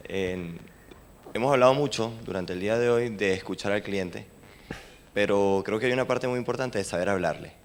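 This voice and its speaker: A man's voice: lowish voice, moderate pace. A man asking a question from the audience at a conference, with a light, playful humor. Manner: lightly humorous, plays with wordplay. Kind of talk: question from the floor. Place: conference venue.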